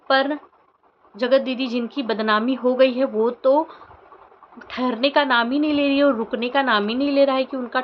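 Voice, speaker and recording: A woman's voice: fairly high pitch, dull audio, a little hiss or hum. A woman vlogger giving opinionated commentary to her viewers in an insistent way, with pointed rhetorical questions. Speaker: vlogger commenting on social media controversy.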